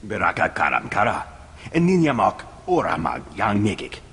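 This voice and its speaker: A man voicing a gruff alien who speaks an invented guttural tongue in a raspy, gravelly voice, as in a video game cutscene. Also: on the low side, wildly swooping pitch, moderate pace, a bit bright, full character voice. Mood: gruff, urgent. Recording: long reverb, music underneath.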